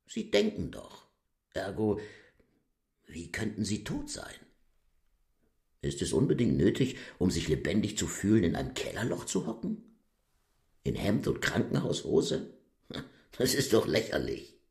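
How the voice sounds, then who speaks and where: An actor playing a brisk, mocking demon henchman in a theatrical, sardonic radio play style. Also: on the low side, wildly swooping pitch, gravelly, darkish tone, roomy sound, heavily acted. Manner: sardonic, wildly swooping delivery.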